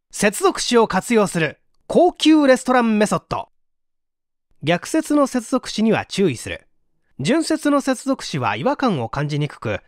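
An audiobook narrator reading a self-help book aloud in a scripted, explanatory way with clear articulation. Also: mid-range voice, no room sound, clean studio sound.

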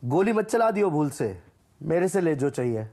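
Husky male gamer for short, punchy voice-pack catchphrases, pitched fairly high and very animated. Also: moderate pace. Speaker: gaming creator voicing a game voice pack. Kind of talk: short scripted gaming callouts and catchphrases.

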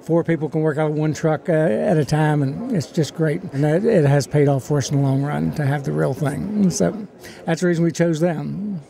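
An older man gives a testimonial in a gravelly, mellow voice. It sits a little high for a man, and he talks as an interviewed customer. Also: normal speed. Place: large indoor trade show hall. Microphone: handheld interview microphone.

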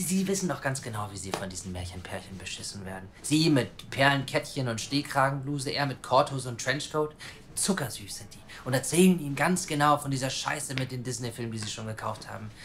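A man's voice: mid-range voice, slight background noise, natural voice. An actor playing a brash, defiant young man with a coarse mouth in a naturalistic audio drama. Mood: indignant, heated. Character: brash, provocative young man pleading to adopt a child.

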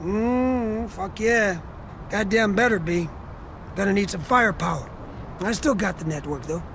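An actor playing a boisterous American war-veteran mercenary captain in a video game, talking like a profane football coach. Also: male voice, sits high, a little slow, vocal fry, a bit bright, slight background noise, exaggerated voice. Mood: gruffly fired up. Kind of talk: video game cutscene acting.

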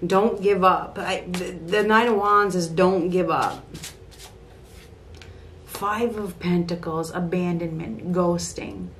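Middle-aged female tarot reader, slightly low voice, halting improvised delivery with repeated phrases, home recording with audible background noise. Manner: halting, repeats phrases as she thinks aloud. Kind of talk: improvised tarot reading to camera. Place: small home room, indoors. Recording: clear steady background room noise.

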